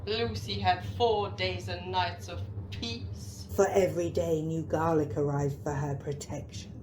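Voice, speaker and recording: Woman acting, eerie female vampire, menacing gothic radio drama, drawn-out delivery, echoey noisy recording. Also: lowish voice, steady tone, close to an everyday voice. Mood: ominous narration. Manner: slow, ominous, chanting. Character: eerie vampire bride narrating Lucy's doom with menace. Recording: echoey with background noise.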